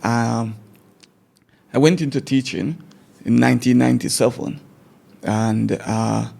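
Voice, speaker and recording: A man's voice: mid-range voice, steady tone, vocal fry, mellow. A man in his forties with a Jamaican accent, a teacher recalling his schooling in a conversational interview, often repeating words as he thinks back. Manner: repeats words while recalling, measured storytelling. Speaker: guest teacher.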